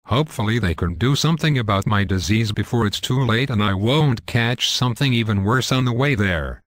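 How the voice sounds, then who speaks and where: A man's voice: fairly low pitch, animated, a little fast, no echo, studio quality. A man playing an anxious hypochondriac dwarf in an amateur fairytale, worried but matter-of-fact. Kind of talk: amateur storybook acting.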